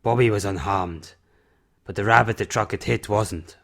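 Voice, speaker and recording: A man playing a gruff, solemn older recluse with a terse, grave manner in an amateur audio drama. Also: fairly low pitch, moderate pace, fairly bright tone.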